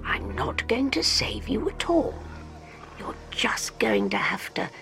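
A woman voicing a calm, dry-witted male doctor who speaks in a hushed, near-whispered tone of authority. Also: medium pitch, slow, creaky, faint music behind, pitched above the performer's own voice, full character voice. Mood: wry, commanding.

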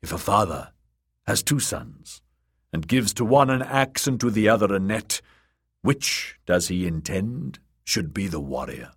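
A man's voice: lowish voice, sing-song, a little slow, fairly bright tone, breathy edge, full character voice. A man voicing a bony, fervent priest-prophet, solemn and commanding, preaching in a fantasy audiobook. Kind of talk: dramatised audiobook character voice.

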